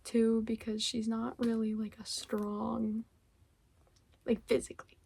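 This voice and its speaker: Hoarse young woman rambling about books. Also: fairly high pitch, completely flat delivery, a little slow, dark tone. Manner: rambling, full of "like" fillers, giggly. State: losing her voice, a little hoarse. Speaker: book vlogger. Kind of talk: casual book wrap-up chat.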